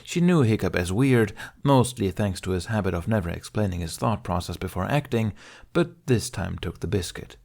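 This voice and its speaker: Guy with a lowish voice reading an audiobook, telling the story expressively. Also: animated, normal speed, vocal fry, darkish tone. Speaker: audiobook narrator. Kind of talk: expressive scripted storytelling narration.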